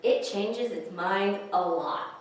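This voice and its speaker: A woman reading picture books aloud to young children, pausing to explain the pictures and ask questions. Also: medium pitch. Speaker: children's librarian, story time host. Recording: echoey, distant microphone, faint hiss.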